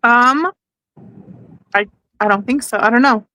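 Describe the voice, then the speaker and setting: Bright-voiced woman chatting casually. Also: fairly high pitch, a little slow, rough recording. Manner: informal, peppers talk with "like". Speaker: podcast guest. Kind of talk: casual anecdotal chat.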